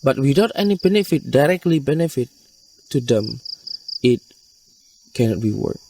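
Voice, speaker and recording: Halting Indonesian-accented man, recorded at a distance. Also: mid-range voice, big pitch swings, warm tone, slight background noise. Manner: halting, repetitive phrasing. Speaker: wildlife rescue NGO worker being interviewed. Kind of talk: interview explanation in non-native English. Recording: distant mic with insect chirps.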